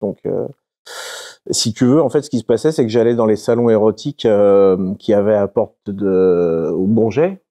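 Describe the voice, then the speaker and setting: A young adult man from France, a guest talking casually in an interview, halting and full of fillers. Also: on the low side, dark tone. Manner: halting, many fillers and restarts.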